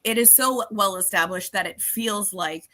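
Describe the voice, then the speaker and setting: A middle-aged woman co-hosting a casual interview, chatty and joking with quick asides. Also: mid-range voice, bright, forceful tone. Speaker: podcast co-host.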